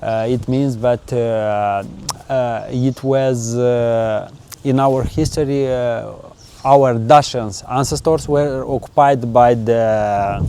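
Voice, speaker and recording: A man from Moldova with a Romanian accent, a native Romanian speaker, answering interview questions about his winery's exports in halting English full of pauses and fillers. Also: medium pitch, steady tone, warm tone, slight background noise. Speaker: winery export sales manager.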